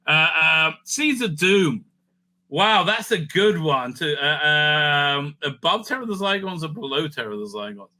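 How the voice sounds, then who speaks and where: A man giving casual, rambling fan commentary as he ranks things, excitable and sweary, sometimes muttering to himself. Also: fairly high pitch, measured pace. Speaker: host of a fan ranking show.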